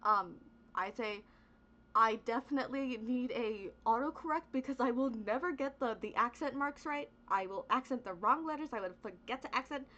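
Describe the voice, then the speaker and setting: Bright, high-voiced young woman ranting. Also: normal speed. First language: Spanish. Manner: rambling, profane, full of "like" and "right?". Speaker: VTuber streamer. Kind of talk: casual ranting monologue. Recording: dull lo-fi recording.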